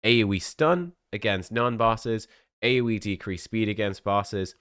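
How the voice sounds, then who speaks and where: A man's voice: on the low side, expressive, a little slow, fairly bright tone, no echo, studio quality. A man with a cold, sniffly and coughing, chatting casually as a game content creator, with many fillers and restarts.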